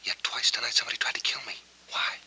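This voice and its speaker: An American actor playing a haunted, bitter amnesiac war veteran in a 1940s film noir, with terse, clipped delivery. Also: male voice, racing, sharp tone, whispered. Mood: puzzled. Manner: terse, clipped, hard-boiled. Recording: faintly noisy 1940s film soundtrack.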